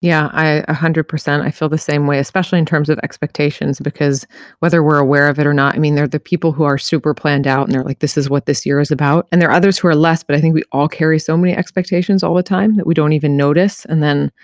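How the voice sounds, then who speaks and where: A woman's voice: sits low, fairly even intonation, fast, no echo, clean studio sound. A woman hosting an interview podcast, talking in a conversational, reflective way with frequent rephrasing. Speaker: podcast host.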